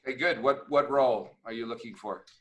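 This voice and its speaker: A man's voice: medium pitch, brisk, a bit bright, highs cut off. A man casually moderating a group meeting as host, in brief informal remarks. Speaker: meeting host, executive coach.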